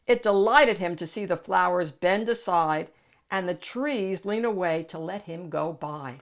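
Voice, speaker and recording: A woman's voice: fairly low pitch, a little slow. A woman hosting a children's read-aloud, narrating a picture book expressively.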